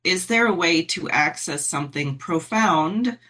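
A woman's voice: on the low side, animated, unhurried, sharp tone. A middle-aged woman in an earnest conversation, thinking aloud with fillers as she makes her case. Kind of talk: conversational political talk.

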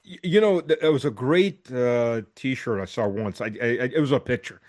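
A man's voice: mid-range voice, moderate pace, darkish tone. Chatty man who laughs easily. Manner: chatty, laughs easily, stumbles over words. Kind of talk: casual chat.

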